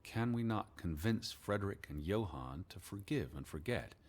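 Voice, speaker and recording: An actor playing a weary, hesitant American naturalist of the 1920s in a video game, speaking in a halting, subdued way. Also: male voice, animated, a little mellow. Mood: hesitant, somber. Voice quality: low, husky.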